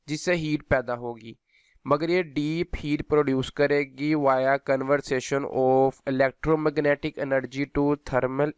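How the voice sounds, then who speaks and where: Slow-paced, clear-voiced male lecturer. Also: mid-range voice, no room sound. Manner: switches between Hindi and English technical terms. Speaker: physiotherapy lecturer. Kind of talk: instructional lecture explaining notes.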